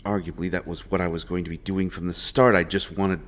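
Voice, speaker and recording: A man playing an earnest, protective royal interrogator secretly helping a prisoner in a fantasy audio roleplay, speaking haltingly and with urgency. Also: deep voice, level tone, natural voice. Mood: urgent, earnest. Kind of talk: dramatic fantasy audio roleplay addressed to the listener.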